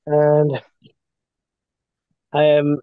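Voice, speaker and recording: A man's voice: on the high side, completely flat delivery, dry recording. A man in his thirties from Bangladesh, calling in from a car to introduce himself politely, with many hesitations. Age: 30s. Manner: halting, many fillers, polite "sir". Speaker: student. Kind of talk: self-introduction in class. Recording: tinny phone line.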